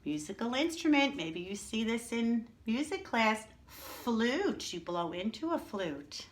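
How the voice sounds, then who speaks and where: A woman teacher leading young children through letters and words, speaking slowly and deliberately. Her voice is bright and forceful, and she keeps asking the kids to say each word with her and praises them. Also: medium pitch, a little hiss or hum. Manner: encouraging, slow and drawn out, calls for repetition. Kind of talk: early-reading lesson for young children.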